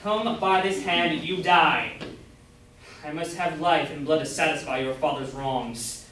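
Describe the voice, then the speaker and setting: A man's voice: a little high, fairly even intonation, measured pace, fairly bright tone. A young man, a student, playing an ardent, brooding lover in verse in an amateur staged reading. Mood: menacing. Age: young adult. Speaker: student actor. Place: college room, staged reading. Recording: very echoey room, far off, faint noise.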